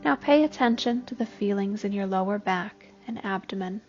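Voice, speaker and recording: A woman gently leading a scripted guided body scan meditation, giving unhurried instructions as a therapist. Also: medium pitch, lo-fi sound. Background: soft music.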